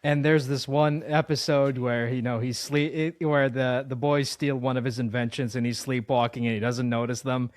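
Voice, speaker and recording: A man's voice: medium pitch, flat delivery, moderate pace, hardly any pauses, dry recording, studio quality. A man hosting a casual interview, talking in a rambling, jokey way and often repeating his words. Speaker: interview host.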